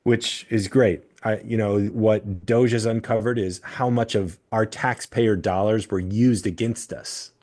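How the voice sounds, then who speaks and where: A man hosting a podcast, explaining his views at length in a measured, steady way. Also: lowish voice. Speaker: podcast host. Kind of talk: casual political discussion.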